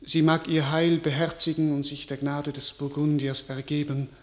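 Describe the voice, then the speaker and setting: A man's voice: fairly high pitch, completely flat delivery, moderate pace, echoey room, natural voice. An actor playing a despairing, weary young king in a classical verse radio drama, declaiming solemnly.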